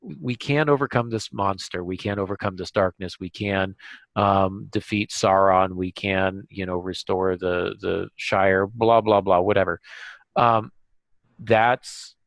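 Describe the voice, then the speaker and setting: A man co-hosting a casual podcast discussion, speaking in a halting way as he thinks aloud. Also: low-pitched, steady tone, vocal fry, no echo. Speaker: podcast co-host.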